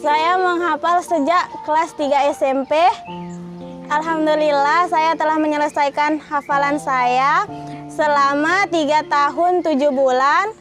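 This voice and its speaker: A young woman in her late teens from Sumatra, a Quran memorization graduate, who speaks in a hesitant, halting way. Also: sits high. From Sumatra, Indonesia. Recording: faint background music.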